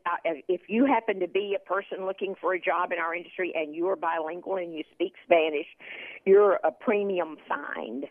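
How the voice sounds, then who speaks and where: A woman's voice: lowish voice, dry recording. A woman from Texas, a guest expert on a radio talk show, chatting and telling anecdotes with many repeated words. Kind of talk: casual radio interview. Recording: phone line.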